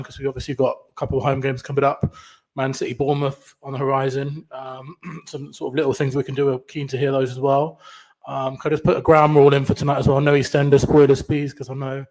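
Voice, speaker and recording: A man speaking in a casual online fan chat, quick and matter-of-fact, often adding "man". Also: medium pitch, rough recording. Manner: quick, flat delivery, says "man" often. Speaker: fan group organiser.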